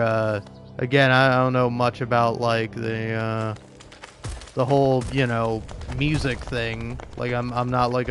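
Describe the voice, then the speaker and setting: A man's voice: medium pitch, slight background noise. A man talking in a casual, rambling monologue, second-guessing himself as he goes. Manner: rambling, self-questioning. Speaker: video creator.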